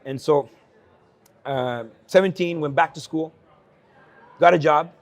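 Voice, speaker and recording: Gravelly-voiced man telling his story. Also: fairly high pitch. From El Salvador. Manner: earnest, frequent fillers and restarts, quick laughs. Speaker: guest entrepreneur. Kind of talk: candid conversational storytelling. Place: investment conference.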